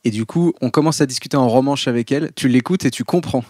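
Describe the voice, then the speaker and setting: A man's voice: medium pitch, fast talker, darkish tone, no room sound, clean studio sound. A man hosting a casual podcast interview, prompting and teasing his guest. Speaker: podcast host.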